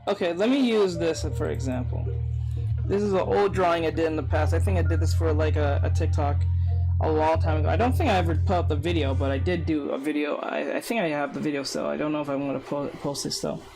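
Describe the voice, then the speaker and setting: A man streaming a casual drawing lesson, with a soft, soothing voice, rambling and correcting himself as he chats with viewers. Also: fairly high pitch, steady tone, fast, gravelly, rough recording. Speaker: art streamer teaching drawing. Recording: background music under voice. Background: guitar music.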